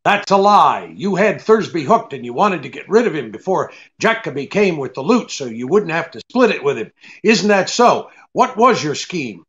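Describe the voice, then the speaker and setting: A tough, shrewd private detective in a radio drama. His fairly high voice moves around a lot, clipped and hard-boiled, on slightly muffled audio. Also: big pitch swings, normal speed, a bit bright. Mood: hard, accusing. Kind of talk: old-time radio drama acting.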